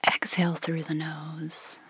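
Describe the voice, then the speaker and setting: A woman guides a meditation in a soft, husky voice that often drops close to a whisper. She speaks very slowly, leaving long pauses between gentle instructions. The sound is dry and close, with a slightly low pitch. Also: monotone, no echo.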